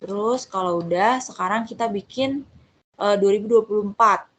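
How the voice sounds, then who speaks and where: A woman's voice: mid-range voice, normal speed, slight background noise. A woman giving an informal step-by-step tutorial as a tutor, conversational and often correcting herself. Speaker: accounting tutor.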